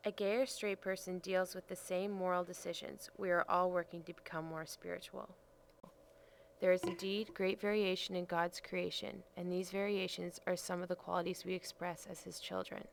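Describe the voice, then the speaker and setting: Creaky low-pitched woman reading evenly. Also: little pitch movement, moderate pace. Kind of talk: reading a prepared statement aloud. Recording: faint background noise and ambience.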